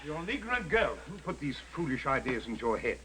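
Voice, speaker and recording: A man's voice: fairly high pitch, big pitch swings, quick pace, very noisy. An actor playing a sensible, kindly husband trying to keep his temper, plain-spoken, in period radio drama style. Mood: exasperated patience.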